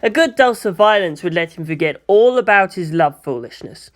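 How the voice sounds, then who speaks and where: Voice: medium pitch, animated, normal speed, lightly acted. A narrator voicing a blunt, crude, brutish ork war boss from a fan story. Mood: dismissive.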